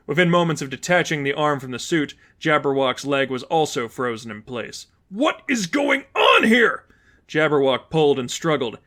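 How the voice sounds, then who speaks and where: A man's voice: mid-range voice, a bit bright. A man reading as narrator, delivering scripted fiction in a storytelling style.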